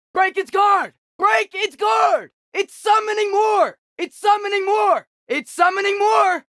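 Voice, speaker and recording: A man's voice: very high-pitched, creaky, bright, forceful tone, no echo, studio quality, heavily acted. A young male actor playing an alert teenage boy warrior in a video game, giving short, urgent combat callouts. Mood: urgent warning.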